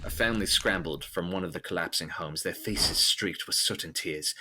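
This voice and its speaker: A man's voice: deep voice, moderate pace, pushed and bright, a little hiss or hum. A man delivering scripted, dramatic narration of a gothic horror story.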